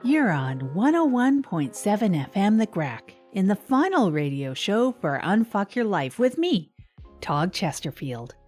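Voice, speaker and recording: A woman's voice: mid-range voice, very animated, a little slow, darkish tone. Woman acting as a wry small-town radio host lady, rambly and hesitant, voice moves around a lot, music in it. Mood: wry, reflective. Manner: hesitant, rambling, self-deprecating asides. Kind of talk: scripted comedic radio monologue.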